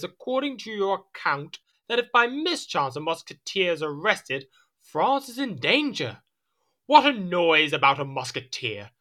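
Period audio drama: petulant male monarch. Higher register, very animated, haughty and quick to exasperation. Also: full character voice. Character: petulant, imperious, easily irritated king.

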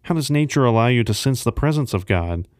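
A man's voice: mid-range voice, lively intonation, a little fast, darkish tone, no echo, studio quality. A man serving as host of a devotional podcast, reading a scripted announcement.

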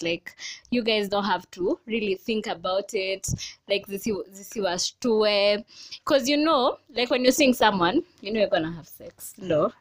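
A young woman with a Kenyan accent speaking frankly in casual chat, mixing English and Swahili. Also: medium pitch. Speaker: panel guest.

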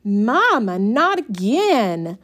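A woman voicing a whiny, shrill young sister who complains loudly. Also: lively intonation, very slow. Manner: whining, high and loud.

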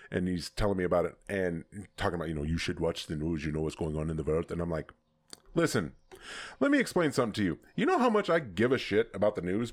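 Guy hosting a podcast, lowish voice that swings all over, swears a lot, laughs a bunch. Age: adult, hoping to see future grandkids. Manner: profane, animated storytelling with frequent laughs. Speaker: podcast host. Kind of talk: casual podcast chat.

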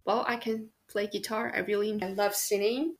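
A young woman from China with a Chinese accent whose first language is Chinese, answering interview questions in an earnest, hesitant way with many pauses and fillers. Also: mid-range voice, fairly even intonation. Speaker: guest.

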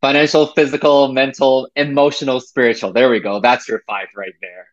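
A man's voice: medium pitch, fairly even intonation, moderate pace, dry recording, muffled recording. A man from Taiwan in his late thirties, a podcast guest talking casually and reflectively, with many fillers and restarts. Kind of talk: casual interview talk.

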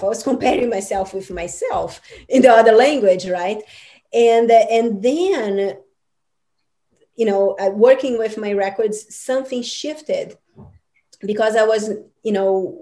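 A woman's voice: medium pitch, mellow. A woman whose first language is Portuguese, an Akashic records teacher answering interview questions in an earnest, rambling coaching manner with many fillers.

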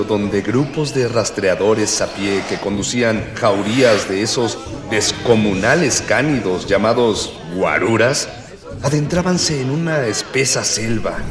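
A man's voice: lowish voice, lightly acted. A man playing a grandiloquent narrator, the hero's unseen companion, with florid, literary delivery in a radio drama. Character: grandiloquent storyteller sidekick, unseen companion of hero. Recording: echoey long reverb, music bed.

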